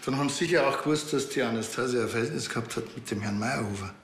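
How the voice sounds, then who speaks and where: An actor with a Bavarian accent playing a laid-back, teasing policeman in a TV crime comedy, deadpan and sarcastic. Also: mid-range voice, racing, echoey room, slight background noise, lightly acted. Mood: probing.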